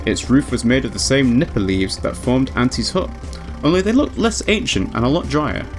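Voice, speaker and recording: A man narrating a visual novel aloud as a let's player, in an expressive storytelling style. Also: mid-range voice, normal speed. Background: background music.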